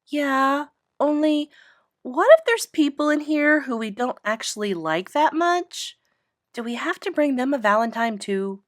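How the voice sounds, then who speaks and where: Casting: woman as blunt, gushing kindergarten girl for a storybook, high voice, very animated, emphatic childish grammar. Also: measured pace, dry recording, studio quality. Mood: questioning.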